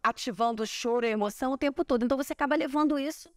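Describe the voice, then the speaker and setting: Actress guest for a sit-down chat, forties, animated, gesturing, dry clip-on sound. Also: female voice, sits high, very fast, dry recording. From Brazil. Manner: chatty, animated storytelling with hand gestures. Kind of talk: relaxed interview chat. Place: large bright living room, seated on a sofa. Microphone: small clip-on mic on collar.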